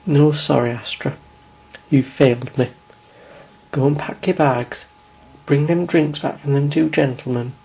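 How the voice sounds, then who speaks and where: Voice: mid-range voice, fairly even intonation, a little slow, vocal fry, pauses often, warm tone, breathy edge, low-quality recording. A performer voicing a stern, bossy older woman who coldly dismisses a girl. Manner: harsh, cold, dismissive. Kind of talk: homemade audio drama.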